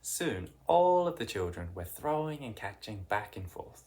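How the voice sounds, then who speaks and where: A narrator reading a children's picture book aloud in an expressive, deliberate way with dramatic emphasis. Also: medium pitch. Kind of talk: read-aloud children's storytelling.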